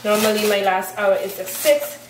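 A woman of 24 from Aruba chatting casually to camera in a halting way as she vlogs at home. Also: mid-range voice. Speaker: vlogger. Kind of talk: casual vlog chat to camera. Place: indoors at home, hard-walled kitchen and rooms. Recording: echoey room, some background noise.

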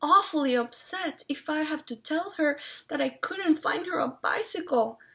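A woman's voice: high voice, animated, moderate pace, gravelly, pushed and bright, voice pushed up, heavily acted. A woman reading a storybook aloud as a kindly, easily flustered señora, speaking emphatically. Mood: worried. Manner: exclaiming, emphatic.

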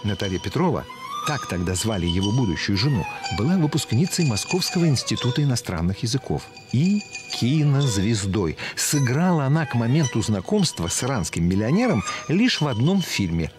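A man's voice: medium pitch, sing-song, normal speed. A man narrating a documentary from a script, with a dramatic, storytelling delivery. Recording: voice-over with loud music bed. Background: background music.

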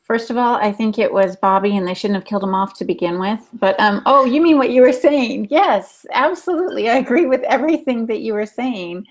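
A woman's voice: a little high, fairly quick, hardly any pauses. A woman in her forties chatting casually as a co-host on a podcast panel. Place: room at home, indoors. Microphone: video call with earphones.

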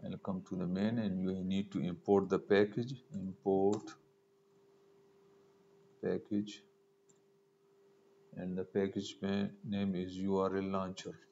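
A man giving a step-by-step screencast programming tutorial, halting as he thinks aloud while typing. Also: low-pitched, level tone, warm tone. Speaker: programming instructor. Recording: dull lo-fi mic with noise.

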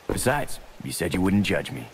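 A man's voice: deep voice, sing-song, moderate pace, gravelly, slight background noise, full character voice. A man with an American accent playing a brooding, bitter young ex-Marine convict, terse and guarded, in a video game. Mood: dismissive, resigned. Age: young adult. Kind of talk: dramatic video game cutscene acting.

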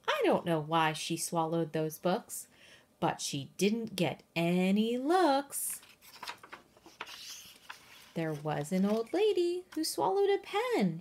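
A woman reading a children's picture book aloud in an expressive, sing-song, emphatic way, with chatty asides to young listeners. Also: mid-range voice. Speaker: read-aloud storyteller.

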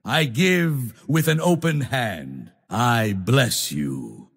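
A male actor playing a proud, zealous holy knight leader in a fantasy video game, with a gravelly, husky voice and grandiose, solemn delivery. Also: mid-range voice, animated, heavily acted. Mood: magnanimous. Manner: grandiose, solemn, deliberate declamation. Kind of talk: theatrical fantasy game voice lines.

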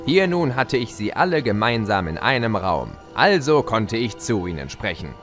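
A man playing a gloating, mischievous spirit of chaos who tells his tale in a theatrical, mocking, drawn-out way for an audio drama. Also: on the low side, sing-song, a bit bright, faint music behind. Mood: smug storytelling. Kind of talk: German audio drama narration and character acting.

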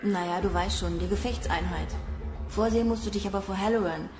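An actress as a brash young female recruit in a sci-fi radio play. She talks in blunt, cynical slang at a medium pitch, with clear music behind her. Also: brisk, close to an everyday voice. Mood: cynical gossip. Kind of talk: radio drama dialogue.

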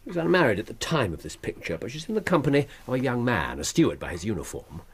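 An actor playing a keenly observant, self-assured Victorian gentleman detective with an English accent, precise and probing, in a radio drama. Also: medium pitch, big pitch swings, fast, slightly rough. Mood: brisk, probing. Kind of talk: period radio drama acting.

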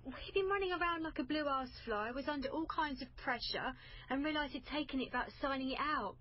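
An actress with a British accent playing a nervous, eager-to-please young police trainee, hesitant and defensive, in a radio drama. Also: female voice, high voice, brisk, muffled recording, lightly acted. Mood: defensive, sheepish.

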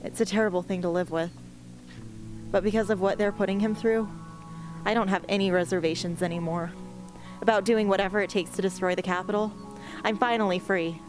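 A young woman performs a determined, anguished teenage rebel girl in a radio drama. The delivery is earnest and measured, mid-pitched, close on the mic with music underneath. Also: fairly even intonation, close to an everyday voice. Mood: sombre, resolute. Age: young adult. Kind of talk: amateur radio play acting. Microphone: close studio microphone on stand.

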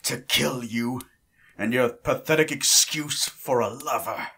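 A performer playing a hostile, sneering vampire hunter in an audio roleplay, husky and theatrical, spitting threats. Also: mid-range voice, unhurried, fairly bright tone, full character voice. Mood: furious, defiant. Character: hostile, sneering vampire hunter assassin, defiant when captured.

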